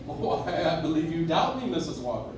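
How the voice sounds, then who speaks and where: A genial, dignified old Santa figure played by a man in a radio play, with lively, swooping delivery. He sounds far from the microphone in a noisy, echoing room. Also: fairly high pitch, moderate pace. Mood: calm, sincere. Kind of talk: live stage radio play acting. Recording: echoey noisy room, distant audience recording.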